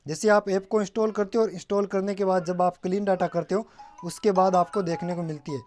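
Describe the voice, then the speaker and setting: A man's voice: sits high, fairly even intonation, brisk, darkish tone, faint music behind. Man giving a casual phone tutorial. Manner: repeatedly addresses viewers as guys, mixing in English terms. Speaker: tech tutorial presenter.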